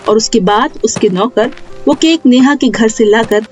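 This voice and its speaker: A woman with a very high voice narrates a children's moral tale from a script. Light music runs behind her, and the sound is a little dull. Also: a little slow. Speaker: storyteller.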